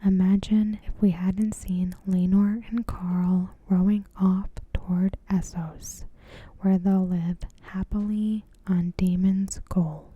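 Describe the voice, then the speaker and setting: Slow breathy whispering woman, ASMR. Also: medium pitch, flat delivery, phone-quality sound. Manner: measured, deliberate, hushed. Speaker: ASMR creator reviewing a TV episode. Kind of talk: ASMR, soft scripted commentary. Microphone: close microphone in a dry space.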